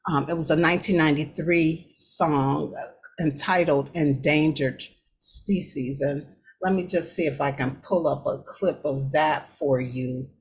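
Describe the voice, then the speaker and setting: A woman hosting a talk show with casual commentary, often halting as she thinks aloud. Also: low voice. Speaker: show host. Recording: clear background noise.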